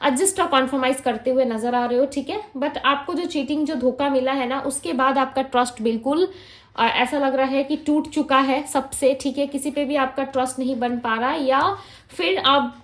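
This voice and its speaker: High-pitched woman giving a tarot reading. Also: steady tone, normal speed. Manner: Hindi mixed with English, frequent "ठीक है" fillers; gentle, reassuring, addresses viewers directly. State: throat feels heavy. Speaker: tarot reader.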